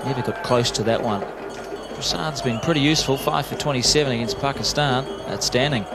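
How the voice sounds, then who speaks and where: A man's voice: medium pitch, brisk, loud music behind the voice. A man giving live analysis as a television cricket commentator. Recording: noisy archive broadcast, loud crowd. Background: loud stadium crowd noise.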